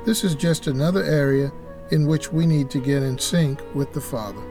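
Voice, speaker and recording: A man's voice: on the high side, normal speed, mellow, faint music behind. A man co-hosting a religious podcast, reading an earnest, preacherly script. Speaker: podcast co-host.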